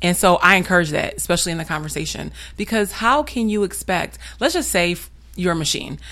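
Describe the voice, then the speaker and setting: A young woman chatting candidly, her low voice wandering through fillers and restarts. She speaks openly and at length, circling back as she builds each point. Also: vocal fry, fairly bright tone. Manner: frank, rambling, many fillers and restarts. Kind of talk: candid conversational chat.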